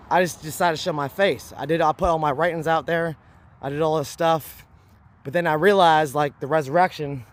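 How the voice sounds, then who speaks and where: A man with a hoarse voice, talking to camera in a rambling, repetitive, preachy vlog monologue. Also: on the high side, a bit bright, a little hiss or hum. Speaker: vlogger.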